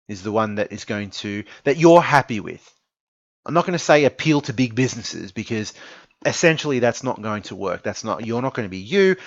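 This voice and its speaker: An Australian man in his late twenties talks through his opinions informally, with a mid-pitched voice and an earnest, emphatic delivery. He leans on repetition to drive points home. The sound is dry and slightly dull, like a modest home recording. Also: dry recording. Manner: earnest, emphatic, repeats phrases for stress. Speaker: esports content creator giving an opinion piece.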